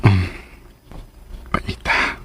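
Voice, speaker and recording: A man with a Cebuano accent playing a playful, sulky secret boyfriend in a radio drama, with a husky voice and an animated, teasing manner. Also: drawn-out words, background noise. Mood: sulky, pleading. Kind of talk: Filipino radio drama acting.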